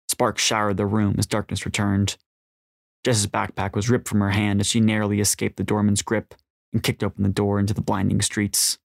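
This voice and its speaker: A man narrates a fiction story in audiobook style. His voice is low, and the recording is dry and close with little room sound. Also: vocal fry, no echo.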